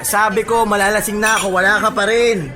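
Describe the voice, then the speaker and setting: A man's voice: high voice, little pitch movement, fairly quick, crowd noise, lightly acted. An actor playing a friendly, casual man in a radio drama. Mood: casual, griping. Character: friendly, casual man meeting an old flame. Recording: music bed behind.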